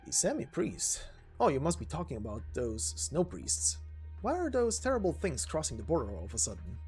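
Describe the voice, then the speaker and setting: A wary soldier on a snowy border patrol in a game story, played by a man. The husky voice moves around a lot in pitch and talks in terse, questioning bursts, with faint music behind. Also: wildly swooping pitch, brisk, a little mellow, full character voice. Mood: grumbling.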